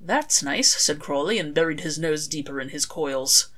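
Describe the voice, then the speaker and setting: Woman reading a story, slightly low voice. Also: little pitch movement, normal speed, fairly bright tone. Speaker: author reading own fan fiction. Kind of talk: scripted story narration.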